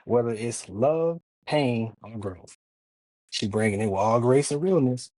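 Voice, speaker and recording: A man's voice: mid-range voice, lively intonation, unhurried, warm tone, no echo, rough recording. A man hosting a casual interview podcast, talking in a laid-back, slangy, hyped-up way. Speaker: podcast host.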